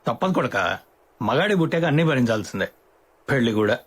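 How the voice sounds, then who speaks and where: A man's voice: medium pitch, expressive, fast talker, very noisy, natural voice. An older man acting a playful, youthful-minded retired father in a film comedy, jokey and mock-philosophical. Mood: wry amusement.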